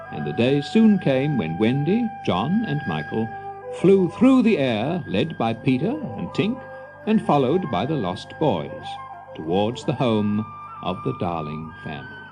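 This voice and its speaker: A man's voice: mid-range voice, rough recording. A man narrating a children's adventure story in a dramatic, scripted storybook style. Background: music.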